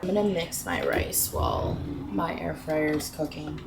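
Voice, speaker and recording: Sleepy-voiced woman with a low voice. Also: wildly swooping pitch, unhurried, very noisy. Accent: American. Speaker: lifestyle vlogger. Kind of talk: casual vlog chat. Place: home kitchen.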